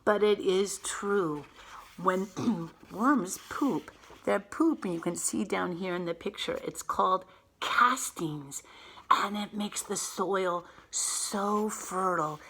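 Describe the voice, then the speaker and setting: A woman teacher reading a picture book aloud to young children in an expressive way, pausing to explain things simply with emphasized words. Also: mid-range voice, a little hiss or hum.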